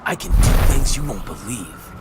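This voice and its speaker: A man's voice: on the low side, sing-song, normal speed. A man playing a cocky, eager young superhero in a video game, brash and boyish in his banter. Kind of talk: video game character dialogue. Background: loud music.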